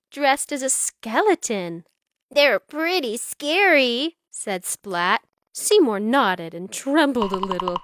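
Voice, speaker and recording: A woman narrating a children's storybook aloud in an expressive, dramatic storytelling style. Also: medium pitch, a little hiss or hum. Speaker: storybook reader.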